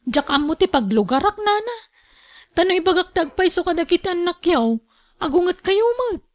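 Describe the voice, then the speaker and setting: A woman's voice: very high-pitched, big pitch swings, moderate pace. A woman playing a long-suffering younger caretaker of an elderly mother in a radio drama, earnest and reasoning. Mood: earnest explaining.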